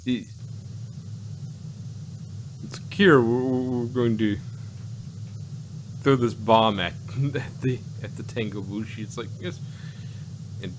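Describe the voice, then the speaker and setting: Male podcast host, lowish voice, unhurried and halting, chuckly, noisy home-recorded sound. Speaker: podcast host reviewing an audio drama. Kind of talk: casual chat.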